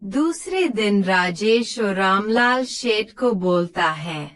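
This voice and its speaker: A woman's voice: medium pitch, animated, takes it slowly, fairly bright tone. A woman giving scripted narration for a children's moral story.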